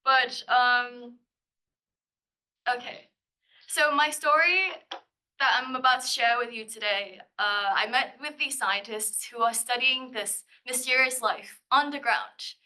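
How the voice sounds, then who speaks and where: A young woman from Thailand gives a live talk to a room, slightly high and very bright in tone. She sounds nervous and giggly, with a playful touch. She is presenting her science-writing thesis. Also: a little slow. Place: lecture room.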